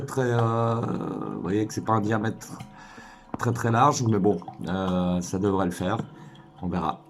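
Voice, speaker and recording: A man giving a casual hands-on tutorial, informal and hesitant, thinking aloud as he works. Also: fairly low pitch. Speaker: DIY tutorial maker.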